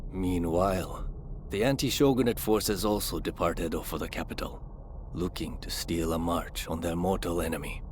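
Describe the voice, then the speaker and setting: A man's voice: deep voice, normal speed, noisy background, close to an everyday voice. A man acting as the grave narrator of a samurai-era video game, reading scripted dramatic narration. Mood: solemn.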